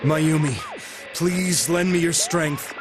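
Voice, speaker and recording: An actor playing an earnest, guilt-ridden delivery man in a video game, speaking in a halting, solemn, confessional way. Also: on the high side, steady tone. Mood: anguished, determined. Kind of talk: dramatic video game voice acting. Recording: music behind the voice.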